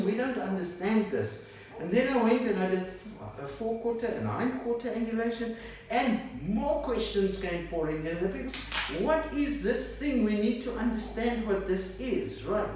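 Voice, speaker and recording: A man's voice: high-pitched, slight background noise. Older man lecturing in an echoey room. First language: not English. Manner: animated, gestures and draws while explaining, asks "right?" often. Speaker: dog breed instructor. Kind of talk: whiteboard lecture. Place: small indoor room by a whiteboard. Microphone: camera a few meters away. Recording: echoey room, mic at a distance.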